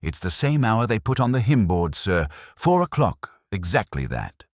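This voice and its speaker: A man's voice: fairly low pitch, big pitch swings, moderate pace, no echo, studio quality, voice pushed up. A man playing an earnest, anxious police sergeant in a mystery story, deferential and plain-spoken with his superior. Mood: uneasy, puzzled.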